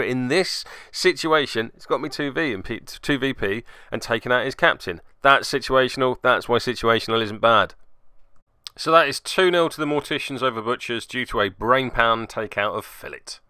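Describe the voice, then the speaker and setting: A man's voice: mid-range voice, bright, forceful tone, clear, resonant tone, dry recording, clean studio sound. A man hosting and narrating a tabletop game move by move, with theatrical flourishes and wry asides. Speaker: podcast host and player.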